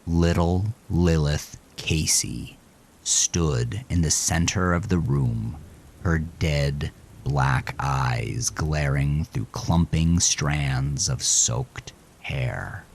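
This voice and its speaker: A man's voice: extremely low pitch, drawn-out words, creaky, a little hiss or hum. A man narrating a horror story with brooding intensity.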